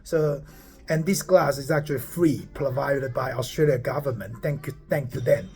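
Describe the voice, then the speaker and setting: A man's voice: medium pitch, moderate pace, a little mellow, faint music behind. A man in his forties from Taiwan, a startup founder talking casually to camera on his phone, rambling and often stopping to ask "okay?". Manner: rambling, repeats words and asks "okay?" often.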